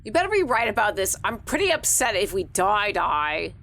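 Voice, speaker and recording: A woman giving casual let's-play commentary, expressive, joking and free with swearing. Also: medium pitch, moderate pace, a little hiss or hum. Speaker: game streamer. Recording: faint game music behind.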